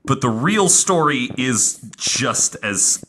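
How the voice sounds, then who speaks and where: A man hosting a podcast, telling a true story casually in an informal, halting way with some self-corrections. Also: medium pitch, expressive, sharp tone. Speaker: podcast host. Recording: faint background noise.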